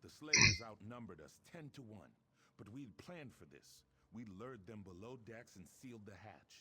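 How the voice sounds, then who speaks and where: An actor playing a proud, stern ship captain in a game, speaking in a formal, theatrical way. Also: male voice, fairly low pitch, lively intonation, moderate pace, heavy background noise, natural voice. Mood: pleading.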